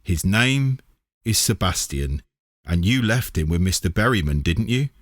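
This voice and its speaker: A knowing storybook cat, voiced by a man, loyal to friends and quietly menacing. The voice stays low and close, with little room sound. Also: animated, a little slow, dry recording. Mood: matter-of-fact.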